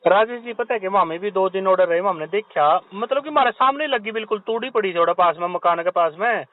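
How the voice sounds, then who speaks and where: A man's voice: sits high, a little fast, no room sound. A man interviewing someone over the phone in a casual journalistic way, with wordy questions and short acknowledgements. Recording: narrow phone call recording.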